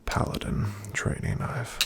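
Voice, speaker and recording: A man in an ASMR roleplay voicing a calm, careful paladin travel companion, softly whispering to the listener. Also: a little slow, natural voice. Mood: calm hushed. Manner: soft, gentle whispering to the listener.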